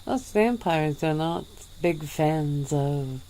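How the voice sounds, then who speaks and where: A woman voicing an ancient, weary female vampire in a soft-spoken ASMR roleplay, with low chuckles. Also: lively intonation, slow, slight background noise.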